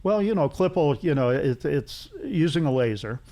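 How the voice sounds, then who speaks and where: A middle-aged or older man giving casual technical explanations as an interview guest, in a halting, rambling way with repetition. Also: a little high, animated, mellow. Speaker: interview guest, loudspeaker engineer.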